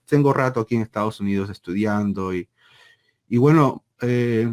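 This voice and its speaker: A man, explaining things conversationally as an interview guest, deliberate and emphatic, often checking with a tag question. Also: fairly low pitch, a little mellow. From Panama. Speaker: interview guest, seminary program director.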